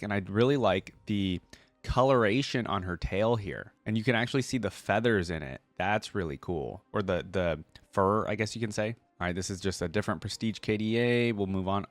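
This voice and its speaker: A man's voice: on the low side, no echo. A man giving casual, unscripted reaction commentary as a video host, talking in an informal, slangy, enthusiastic way.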